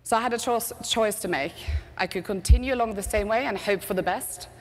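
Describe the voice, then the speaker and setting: A Norwegian woman with a mixed Norwegian and British accent, giving a lively, chatty conference talk on stage through a headset mic. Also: medium pitch, brisk, soft background music. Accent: Norwegian with British English mix. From Norway. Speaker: conference speaker, agency CEO. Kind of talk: keynote presentation. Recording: long reverb of a large hall.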